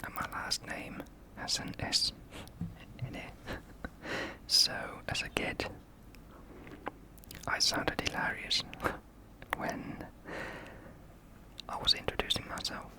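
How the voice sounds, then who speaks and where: A speaker from Finland with a Finnish accent, whispering an ASMR video softly and slowly, with small laughs. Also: poor audio. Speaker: ASMR creator.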